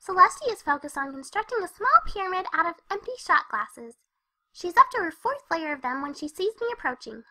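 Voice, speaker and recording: A woman's voice: very high voice, sing-song, a little fast, sharp tone, full character voice. A woman voicing a hyper, cheerful young female cartoon pony who narrates her story in a chatty, scatterbrained way. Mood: breezy, bubbly. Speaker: first-person narrator of a fan fiction reading. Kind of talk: animated character narration.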